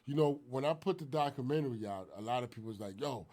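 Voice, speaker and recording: Man, 40s, from Haiti, interview guest, relaxed street-casual talk, frequent filler phrases. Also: mid-range voice, quick pace. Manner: laid-back, lots of "you know what I'm saying". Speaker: guest filmmaker.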